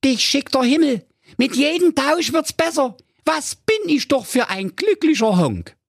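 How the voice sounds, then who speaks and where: A man doing a high, eager, simple-minded fellow. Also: very animated, moderate pace, dry recording, studio quality. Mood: overjoyed. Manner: high, eager and good-natured. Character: naive cheerful simpleton man on a swapping journey.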